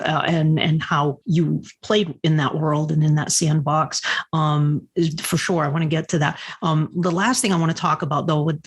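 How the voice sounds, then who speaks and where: A male podcast host with a fairly high voice that is husky and full of fry. He talks conversationally, thinking aloud as he goes. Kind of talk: casual pop-culture discussion.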